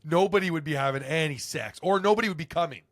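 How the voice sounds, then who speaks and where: A man's voice: on the high side, no room sound. Young male podcast co-host, dry close mic, teasing and incredulous. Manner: teasing, quick incredulous reactions. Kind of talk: casual crude banter.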